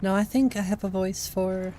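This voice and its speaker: A woman's voice: medium pitch, steady tone, normal speed, noisy background. A woman game streamer chatting casually into her XLR microphone at home, with wry, chatty asides. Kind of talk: casual gameplay chat.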